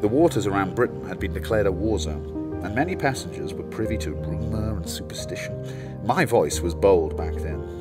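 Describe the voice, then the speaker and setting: A devoted, haunted passenger in an audio play; lowish voice, lyrical and close. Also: male voice. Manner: intimate, lyrical storytelling. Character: lovesick male ship passenger, haunted and devoted. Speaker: narrator-protagonist of an audio play. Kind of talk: first-person audio drama monologue. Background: loud music score.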